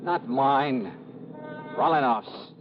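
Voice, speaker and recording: An American actor playing a hard-boiled insurance investigator in a golden age radio drama, speaking in clipped phrases with dramatic pauses. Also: male voice, medium pitch, expressive, over music. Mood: grave, urgent. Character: male freelance insurance investigator, hard-boiled, self-critical, urgent. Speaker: lead actor. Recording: muffled vintage radio, loud noise.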